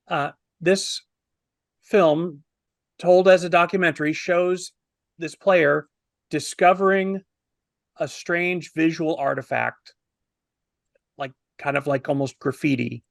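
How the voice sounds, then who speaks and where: A man in his fifties telling a story in a casual, animated review on a video call, wordy and posing himself questions. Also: fairly high pitch, vocal fry, dry recording, studio quality. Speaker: film review panelist.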